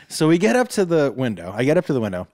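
An animated man telling a funny story in casual chat, his pitch sitting a little high and leaping around a lot. The room sounds dry and close. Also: no echo. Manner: animated, jumps into high voices acting out stories.

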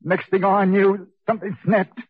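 A sickly working-class Cockney prisoner in an old radio thriller. His voice is high for a man and badly husky, full of slangy, needling chatter. The recording is narrow and tinny, like a telephone line. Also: level tone, moderate pace, no room sound. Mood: anguished confessing. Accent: Cockney English. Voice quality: husky, rough. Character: coarse, hot-tempered, sickly working-class male convict. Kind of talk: 1940s radio acting. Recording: muffled phone-like 1940s radio recording.

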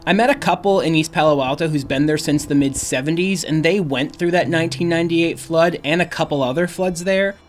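A man's voice: fairly high pitch, fairly quick, few pauses, soft background music. A young adult male reporter explaining a local story in a casual way, with some fillers. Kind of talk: radio news feature narration.

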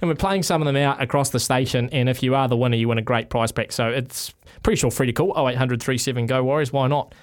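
A man's voice: medium pitch, quick pace, hardly any pauses. A man with a New Zealand accent hosting a sports radio show in casual, bantering style.

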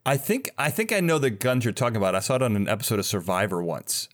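A man's voice: fairly low pitch, big pitch swings, fast. A man hosting a casual interview, with a jokey, self-deprecating way of talking. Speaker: podcast host. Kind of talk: casual, joking interview chat.

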